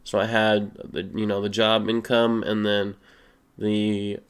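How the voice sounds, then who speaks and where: A young man with a stuffy, slightly sick voice, casually answering viewer questions as a gaming YouTuber, relaxed and full of fillers. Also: lowish voice, slow pace, clean tone. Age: young adult. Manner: relaxed, rambling, many fillers. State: stuffy nose, a little sick. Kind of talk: casual Q and A voice-over.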